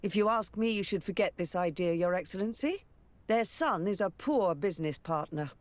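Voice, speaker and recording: Game casting: female actor as gracious noblewoman, very animated intonation, formal and discreet, mid-pitched. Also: normal speed, dry recording, lightly acted. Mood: discreet, cautioning. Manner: polite, formal. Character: courteous, discreet well-informed noblewoman. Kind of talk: dramatic video game dialogue.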